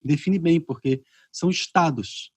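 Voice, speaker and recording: Animated Brazilian man hosting a video-call chat. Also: on the high side, a little slow, slightly rough, no room sound. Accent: Brazilian Portuguese. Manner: animated storyteller, acts out reactions. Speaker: podcast host.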